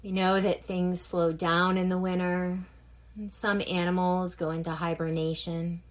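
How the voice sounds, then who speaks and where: A woman with a fairly low voice leads a guided meditation. She speaks very slowly and gently, leaving long pauses between phrases as she gives relaxation instructions. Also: little pitch movement. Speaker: yoga nidra instructor.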